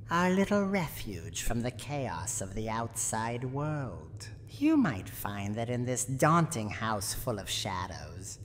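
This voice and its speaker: Gruff old granny of a haunted house, teasing, lively intonation, long reverb over noticeable noise. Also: female voice, very deep voice. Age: older. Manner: menacing yet secretly tender, theatrical. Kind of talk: scripted roleplay ASMR monologue.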